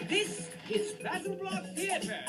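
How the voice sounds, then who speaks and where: A man's voice: extremely high pitch, steady tone, a little slow. A man playing a manic, mock-sweet storyteller, narrating a comic cartoon game with swooping, melodramatic theatricality. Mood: grandiose, salesy. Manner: melodramatic, swooping from cooing to yelling. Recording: distant sound under loud music. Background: loud music.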